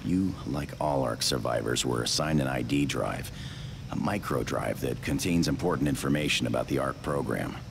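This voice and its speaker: Game role, rebel captain: determined, gruff authority, bottomless gravelly bass with heavy fry. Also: male voice, moderate pace, background noise. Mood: grave, grateful. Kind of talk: video game cutscene acting.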